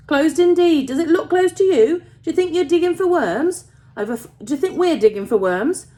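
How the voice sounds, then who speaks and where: A woman voicing a gruff, grumbling old male tin miner with a Yorkshire accent in a story. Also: on the low side, a little mellow, natural voice. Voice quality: gruff, rough. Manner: dialect grammar, grumbling, emphatic repetition.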